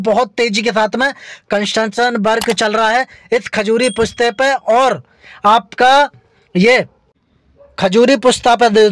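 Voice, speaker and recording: A man's voice: very high voice, fairly bright tone, no room sound. A man from India narrating a road construction tour, chatty and repetitive, talking to his viewers as friends while riding along a busy road. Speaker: vlogger.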